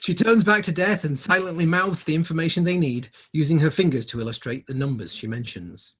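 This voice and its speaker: Guy with a slightly rough husky voice reading stage directions from a film script. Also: on the high side, a little fast. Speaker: script reader. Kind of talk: scripted narration, dramatic screenplay read-through.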